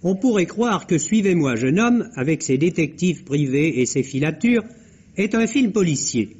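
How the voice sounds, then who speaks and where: A male film critic speaks in a raised, slightly husky register. He reads his review in an explanatory, emphatic 1950s radio style. The sound is muffled and old. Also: on the high side, expressive, normal speed, darkish tone. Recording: dull lo-fi old radio recording.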